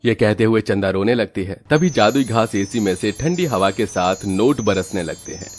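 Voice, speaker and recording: A man's voice: lowish voice, normal speed. A man narrating a scripted moral story as its storyteller.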